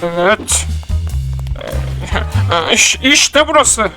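Bir çizgi filmde çocukları kandıran sinsi, kötü bir adamı seslendiren, sahte tatlılıktan tehdide geçen bir erkek oyuncu. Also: male voice, very high-pitched, animated, drawn-out words, over music, full character voice. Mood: falsely friendly. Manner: falsely sweet, then menacing and cackling. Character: sly, wicked adult male swindler luring children. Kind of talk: cartoon dub acting.

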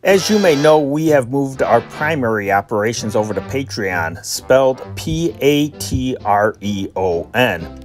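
American man hosting, music behind. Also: fairly low pitch. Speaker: host. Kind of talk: informal scripted program introduction.

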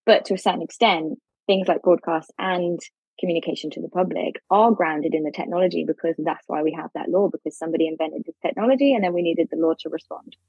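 A woman lawyer, explaining legal points carefully in a podcast interview, with a husky voice. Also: lowish voice, quick pace, dry recording, muffled recording. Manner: articulate, explains carefully with fillers. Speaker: lawyer guest.

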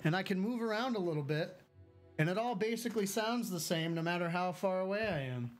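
A man's voice: high voice, lively intonation, brisk, soft background music. A man chatting casually on a live game stream, reading chat aloud and answering it, on a studio mic at home. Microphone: studio mic with filters.

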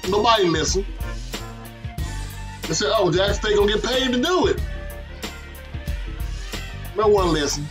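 A man giving opinionated sports commentary in an emphatic way, pausing for effect. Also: sits high, lively intonation. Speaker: sports commentary show host. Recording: music bed under voice. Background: background music.

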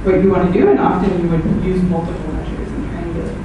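A woman presents research and answers audience questions, pausing often with ums. Her voice sits a bit low and comes from a distance in a very echoey room with steady background noise. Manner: hesitant, many ums. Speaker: speaker. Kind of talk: academic talk with Q&A. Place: meetup venue hall. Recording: echoey hall, distant mic, noisy.

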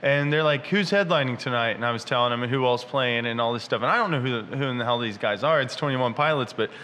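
Bright laid-back man telling stories. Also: medium pitch, quick pace, in a large hall. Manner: laid-back, profane, self-mocking. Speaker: musician, podcast co-host. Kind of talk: casual storytelling. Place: brewery taproom. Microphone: desk mic on boom arm.

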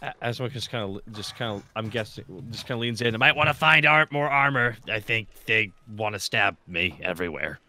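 A man's voice: fairly low pitch, lively intonation, brisk, hardly any pauses, pushed and bright. A man casually roleplaying in a tabletop game, joking and repeating himself as he improvises.

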